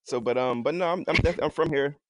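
A man's voice: a little high, fast talker, fairly bright tone, dry recording. Laid-back Philadelphia man, dry podcast sound. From North Philadelphia, United States. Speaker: podcast co-host. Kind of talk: casual slangy chat.

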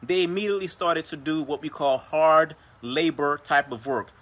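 A man's voice: a little high, normal speed, a bit bright. A Haitian-born man whose first language is Creole, hosting a motivational talk on his livestream show. He speaks earnestly and keeps coming back to phrases like "right?" and "per se.". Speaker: podcast host.

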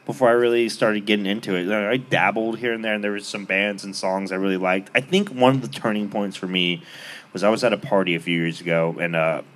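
A man's voice: lowish voice, steady tone, brisk, a bit bright, slight background noise. A man as a podcast guest, chatting casually and telling a rambling story with plenty of fillers, recorded live on a bar stage. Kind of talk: casual chat.

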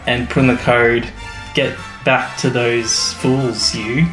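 A man's voice: mid-range voice, flat delivery, slow pace. A man co-hosting a casual podcast as the resident fan, chatty and enthusiastic, speaking in loose, informal banter. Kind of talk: casual banter about a sci-fi series. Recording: roomy echo, faint music behind.

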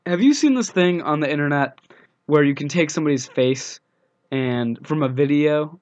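A young man with a scratchy voice from a cold, co-hosting a casual podcast over the phone with dry, deadpan jokes. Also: a little high, moderate pace. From United States. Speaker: podcast co-host. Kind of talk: casual chat. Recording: dull phone-line sound.

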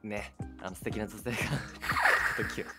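A man's voice: fairly low pitch, steady tone, takes it slowly, vocal fry. A young man co-hosting a livestream radio show in casual chat, politely teasing his partner. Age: young adult. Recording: loud music over the voice. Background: loud background music.

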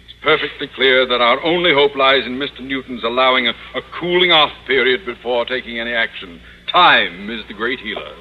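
A man's voice: mid-range voice, fairly quick, lightly acted. A man playing a pompous, irritable school principal and father in 1950s radio comedy, with declamatory delivery. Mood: exasperated. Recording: dull noisy old radio recording.

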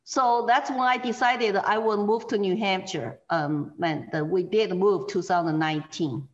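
A woman from China with a Chinese accent, speaking as an interview guest, earnest and animated, with many fillers and restarts. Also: medium pitch, a little slow, muffled recording. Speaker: guest, former political candidate. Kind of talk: interview over a call.

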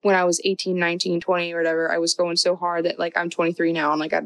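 A woman's voice: fairly low pitch, level tone, very fast. A woman in her twenties co-hosting a podcast, chatting casually with lots of "like" and acted-out quotes. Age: 20s. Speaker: podcast co-host. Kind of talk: casual chat.

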